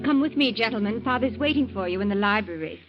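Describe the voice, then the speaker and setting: A woman's voice: medium pitch, expressive, fast talker, over loud music, lightly acted. Woman acting a polite young daughter, muffled radio. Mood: gracious. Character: polite, concerned young daughter of wealthy household. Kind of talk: old-time radio drama acting. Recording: dull old radio recording.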